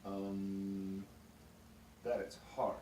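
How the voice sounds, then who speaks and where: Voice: low voice, level tone, very slow, warm tone. Polite schoolboy played by a performer, roomy sound. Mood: hesitant, earnest. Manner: short, simple, polite answers. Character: young male student at wizard school, polite, hesitant. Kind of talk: amateur educational puppet show dialogue. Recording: roomy, distant mic with faint noise.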